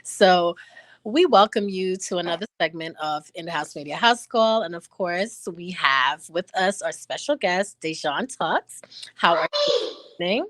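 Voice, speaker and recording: A woman's voice: lowish voice, animated, unhurried, a bit bright, dry recording. A woman hosting an interview, appreciative of her guest and leaning on "you know" fillers. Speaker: host.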